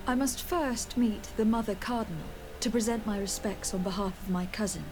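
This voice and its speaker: A poised young noblewoman from a fantasy game, voiced by a woman, with a medium-pitched voice. She speaks with formal, diplomatic courtesy while music plays clearly behind her. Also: normal speed, natural voice. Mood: courteous. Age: young adult. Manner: formal, polite, composed. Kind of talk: video game dialogue acting. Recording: game dialogue under music.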